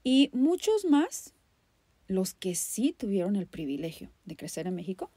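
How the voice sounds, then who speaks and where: A woman's voice: medium pitch, sing-song, a little slow, dark tone, breathy edge, no room sound. A woman with a Mexican accent hosting a podcast, delivering a personal, poetic monologue in an impassioned, emphatic way with dramatic repetition. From Guadalajara, Mexico. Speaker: podcast host.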